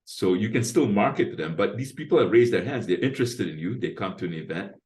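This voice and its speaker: A man in his sixties with a deep bass voice, talking at length as an interview guest and explaining his approach point by point. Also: lively intonation, fast, a little mellow. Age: 60s. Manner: talkative, explains with lists and asides. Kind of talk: casual interview talk.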